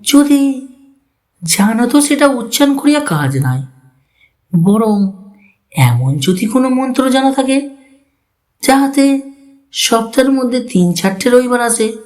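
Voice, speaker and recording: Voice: mid-range voice, sing-song, a little slow, long pauses, darkish tone. An actor playing a restless, romantic young husband with theatrical, formal delivery in an audio story. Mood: playful. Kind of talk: dramatised literary audio story.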